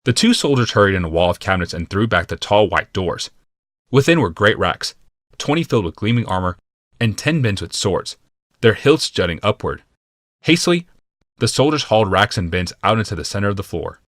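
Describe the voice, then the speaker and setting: A man narrating a fantasy audiobook from a script in a measured, deliberate and dramatic manner. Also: fairly low pitch, animated, fairly bright tone, no echo, clean studio sound.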